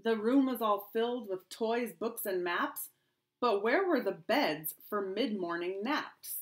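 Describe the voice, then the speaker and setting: A middle-aged woman, a kindergarten teacher, reading a rhyming picture book aloud to children in an expressive, sing-song storytelling way. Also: mid-range voice, a little slow. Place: small home room indoors.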